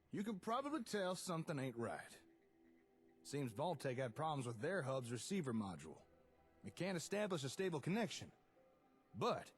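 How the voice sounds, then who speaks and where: An easygoing tinkerer from a post-apocalyptic game, played by a man, with a highish, lively voice. He is folksy and chatty, explaining technical things with keen enthusiasm. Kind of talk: conversational video game dialogue.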